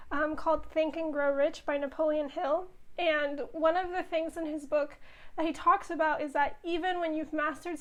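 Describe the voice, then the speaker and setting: A woman giving a casual tarot reading, a little hoarse from losing her voice, reassuring her viewers with frequent "okay?". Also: high-pitched. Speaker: tarot reader.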